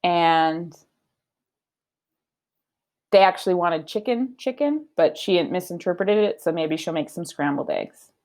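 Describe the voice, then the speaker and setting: A woman's voice: lowish voice, lively intonation, measured pace, pauses often. A woman in her thirties, a teacher, reading a storybook aloud to children at home and explaining reading skills in a teacherly way. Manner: warm teacherly, explaining and questioning. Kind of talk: children's read-aloud lesson. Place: home room.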